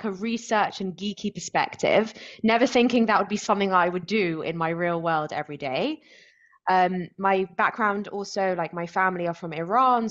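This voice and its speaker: A young woman with a London accent, chatting casually as an interview guest, with many fillers. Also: medium pitch. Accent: London English. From London, England. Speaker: threat intelligence analyst, guest. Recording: dull lo-fi audio.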